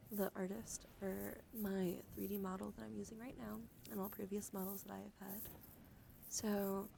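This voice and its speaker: A woman hosting a casual ASMR livestream, talking softly and often dropping into whispers, in a rambling, easygoing way. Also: mid-range voice. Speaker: livestream host. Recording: clearly audible background noise.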